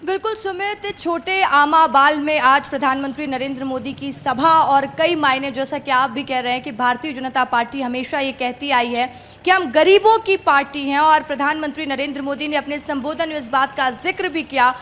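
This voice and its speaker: A female field reporter delivers a live news report. Her voice sits high, and she speaks in running, unscripted sentences. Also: moderate pace, few pauses, a bit bright, a little hiss or hum.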